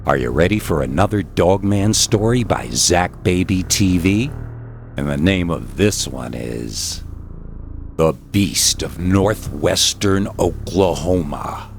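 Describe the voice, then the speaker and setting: A man's voice: deep voice, expressive, takes it slowly, vocal fry, soft background music. A man narrating scary stories in a dramatic style as the host of the show. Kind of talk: dramatic horror story narration.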